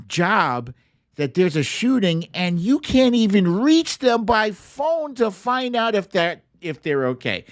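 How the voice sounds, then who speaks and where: Slow, animated American man, blunt and emphatic. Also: fairly high pitch, no echo, studio quality. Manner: blunt, opinionated, emphatic, swearing. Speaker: host of an online political commentary show. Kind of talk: casual political commentary to livestream viewers.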